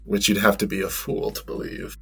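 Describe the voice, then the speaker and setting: A man with a lowish, gravelly and husky voice whose pitch swings around a lot. He is a tabletop player giving short, wry replies, with faint music behind him. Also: level tone, fairly quick. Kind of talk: casual tabletop game banter.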